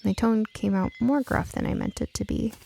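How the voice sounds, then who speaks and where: A woman's voice: mid-range voice, sing-song, moderate pace, gravelly, a little mellow. A woman reading scripted first-person fiction as an audiobook narrator.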